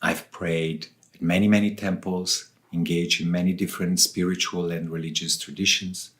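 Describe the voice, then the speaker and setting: A man from southern Switzerland giving reflective interview answers as a guest, speaking deliberately in short phrases with pauses. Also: low voice, flat delivery, fairly bright tone. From Switzerland, southern part. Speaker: author and ayahuasca facilitator, interview guest.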